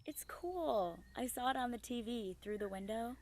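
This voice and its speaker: A woman's voice: a little high, expressive, moderate pace, a little mellow, no echo. A young woman playing a teasing, hot-headed, territorial leaf with a blunt manner in a student radio play. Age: young adult. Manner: blunt, confrontational. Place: small improvised home recording space.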